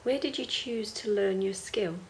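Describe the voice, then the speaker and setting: Gravelly-edged woman interviewer. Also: medium pitch, moderate pace, a little mellow, a little hiss or hum. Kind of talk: prepared interview questions.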